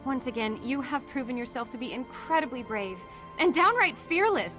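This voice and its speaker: Eager game ally, higher voice, earnest. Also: female voice, expressive, normal speed, bright, forceful tone, soft background music.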